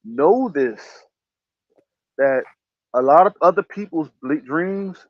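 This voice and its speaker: Man, host, preachy motivational talk, slightly raised pitch, dry muffled audio. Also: expressive, takes it slowly, dry recording. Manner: preachy, emphatic, repeats phrases. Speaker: podcast host. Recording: dull lo-fi sound.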